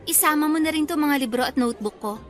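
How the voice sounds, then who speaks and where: Actress as entitled young big sister; high voice, bossy, brisk radio-drama delivery, faint music. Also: female voice, lightly acted. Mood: bossy, offhand. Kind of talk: Filipino radio drama acting.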